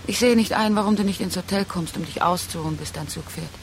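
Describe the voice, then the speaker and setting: An actress playing a weary, disenchanted woman with a creaky voice, speaking frankly in a radio drama. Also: on the low side, fast, close to an everyday voice. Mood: reproachful, tender. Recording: faint background noise.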